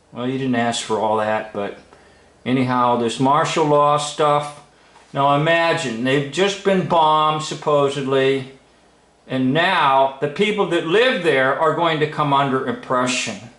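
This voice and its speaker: A man giving informal, unscripted commentary as a video host, speaking in halting, broken phrases with emphatic stresses. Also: fairly high pitch, fairly bright tone. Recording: roomy, echoing room sound.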